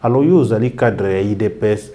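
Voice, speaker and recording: A man's voice: on the low side, fast, warm tone. A man interviewing a politician, asking long, winding questions.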